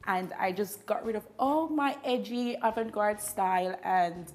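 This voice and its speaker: A woman's voice: medium pitch, a little slow, darkish tone. Bubbly woman, laughs often. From Jamaica. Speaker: guest, vintage fashion blogger. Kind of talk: casual morning TV interview. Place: TV studio.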